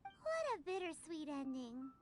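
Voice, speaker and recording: A woman voicing a tiny, cheeky, childlike fairy companion in a video game, with a squeaky voice and a perky, exaggerated delivery. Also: wildly swooping pitch, unhurried, a little hiss or hum, exaggerated voice. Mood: cheerful. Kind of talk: video game character acting. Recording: game music behind the voice.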